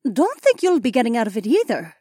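A bossy, fussing young woman with an elegant accent scolds and orders in a high, lively voice, performed by a man. Her voice leaps around as she insists. The sound is dry and close. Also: quick pace, husky, no echo, heavily acted. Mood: scolding. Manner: commanding, scolding.

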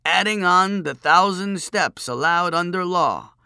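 A man doing a droning, pompous chief priest, pitched up. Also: vocal fry, bright, forceful tone, clear, resonant tone, no room sound, clean studio sound, exaggerated voice. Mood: pompous testing. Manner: droning, drawn-out, pompous recitation. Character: droning, pedantic male chief priest posing riddles.

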